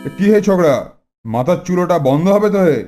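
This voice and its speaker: A man voicing a skeptical, condescending older gentleman in a children's cartoon. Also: high voice, animated, brisk. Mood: doubtful. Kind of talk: exaggerated children's cartoon acting. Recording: music behind the voice.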